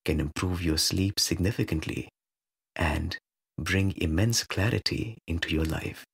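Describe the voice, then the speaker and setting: Very slow, low, gravelly male meditation guide. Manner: measured, hushed calm with long pauses.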